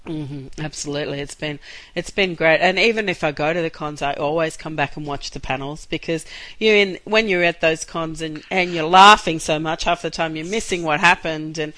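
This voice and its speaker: A woman co-hosting a podcast in casual chat, a little croaky from a cold, talking in an enthusiastic, rambling way. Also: sits low, moderate pace, fairly bright tone, no echo. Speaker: podcast co-host.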